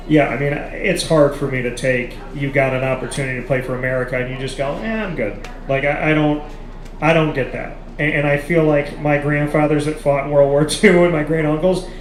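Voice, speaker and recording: A man hosting a live sports talk, speaking emphatically and repeating his points for stress. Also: fairly high pitch. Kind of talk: live casual sports discussion. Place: café. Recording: echoey café with background noise.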